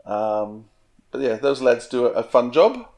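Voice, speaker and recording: Male podcast co-host, medium pitch, casual conversational delivery. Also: lively intonation, unhurried, darkish tone. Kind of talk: casual chat.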